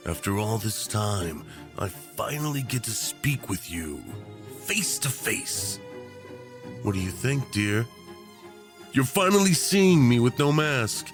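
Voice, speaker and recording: Man playing theatrical, smug yandere tyrant, wildly swooping pitch. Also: sing-song, music in the background. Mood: mocking delight. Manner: theatrical, mock-sweet, drawn-out endearments. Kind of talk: ASMR roleplay acting.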